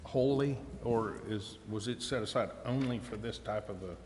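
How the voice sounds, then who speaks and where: A man speaking as a council member at a public meeting, in halting, formal phrases. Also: on the low side, darkish tone. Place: indoor city council chamber. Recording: echoey council chamber with faint noise.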